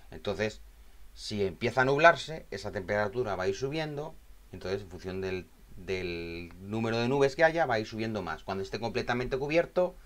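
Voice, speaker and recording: A man's voice: lowish voice, a bit bright. A man instructing in an informal, explanatory tutorial style, often checking in with short tag questions. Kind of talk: informal spoken screen tutorial.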